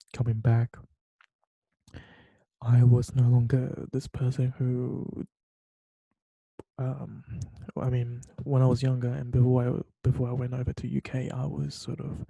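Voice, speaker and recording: A man's voice: medium pitch, creaky, dark tone, breathy edge, no echo. A young man from Taiwan hosting a solo podcast from his bedroom, talking hesitantly with many fillers and restarts. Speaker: podcast host. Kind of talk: solo late-night podcast monologue. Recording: dull lo-fi sound.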